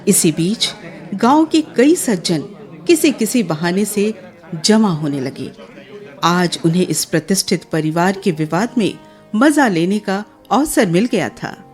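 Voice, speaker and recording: A woman narrates a radio drama in a scripted literary style. Her voice sits at a middle pitch, with a light music bed underneath. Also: expressive, measured pace, darkish tone.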